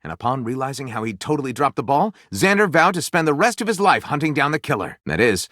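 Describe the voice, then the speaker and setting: Game role: flamboyant male storyteller, pompous and wry, very animated intonation, close dry sound. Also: mid-range voice, quick pace, no echo. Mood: dramatic narration. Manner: theatrical, grandiose, wry. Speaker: voiced video game character. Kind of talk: dramatic storybook narration with wry asides.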